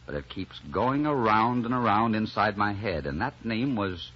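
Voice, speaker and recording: A man's voice: lowish voice, expressive, moderate pace, breathy edge. A man acting a stubborn, protective romantic hero in an old radio drama, with emphatic, insistent delivery. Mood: determined. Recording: dull, noisy old radio recording.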